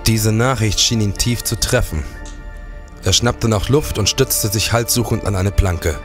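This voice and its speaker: A man's voice: fairly low pitch, unhurried, faint music behind, close to an everyday voice. A man playing a determined policeman who recounts and acts out his adventures in a period crime radio play. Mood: measured narration. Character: determined male policeman narrating his own old-time adventures. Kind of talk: scripted narration and dialogue, period audio drama.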